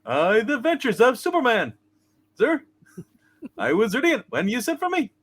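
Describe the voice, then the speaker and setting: Cartoon apprentice angel, very high and wildly swooping, eager, fretful, stammering deference, comic radio play. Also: male voice, huge pitch swings, moderate pace, a bit bright, husky, exaggerated voice. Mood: delighted.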